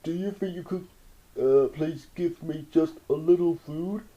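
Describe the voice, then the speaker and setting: A reader putting on a starving storybook anteater that mumbles and pleads very slowly. The voice sits a little above the reader's own and sounds hollow and set apart from the narration. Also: mid-range voice, warm tone, highs cut off, voice pushed up, lightly acted. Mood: pleading, hungry. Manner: slow, drawn-out mumbling. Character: starving anteater, slow and pleading, later sly.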